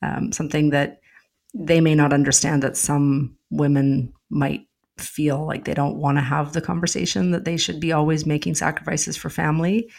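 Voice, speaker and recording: A woman's voice: low voice, flat delivery, moderate pace, vocal fry, a little mellow. A woman in casual conversation, reflective, asking open questions and leaning on "you know" and "like".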